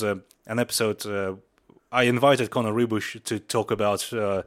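Young man, native Mongolian speaker, lowish voice; casual, halting podcast host. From Buryatia, Russia. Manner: rambling, many fillers and restarts.

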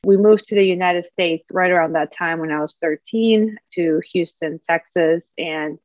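A woman's voice: lowish voice, moderate pace, a little mellow, dry recording. A woman from Peru, a career coach, answering interview questions in an earnest, reflective way. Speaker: career and executive leadership coach. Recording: dull lo-fi dry sound.

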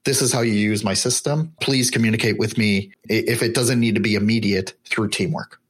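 A man talking in a relaxed way as an interview guest about running a remote team, with lots of "you know" and "right?". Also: on the low side, fairly quick, breathy edge. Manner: conversational, with many fillers. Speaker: remote business owner, guest. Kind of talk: casual interview chat.

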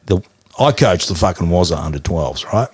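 A man doing a loudmouthed middle-aged Aussie tradesman and father. He rambles, boasts and swears through every line in a low, Australian-accented voice. The sound is close and dry, with no room around it. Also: expressive, moderate pace, breathy edge, no echo. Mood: boastful. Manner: swears constantly, brags, rambles with lots of fillers. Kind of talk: comedy podcast character interview.

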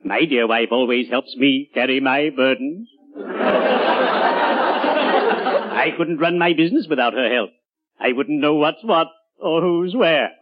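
An actor playing a genteel, mannered middle-aged undertaker fond of puns in a 1940s radio comedy. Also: male voice, mid-range voice, moderate pace, natural voice. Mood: unctuous. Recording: dull vintage 1940s radio recording.

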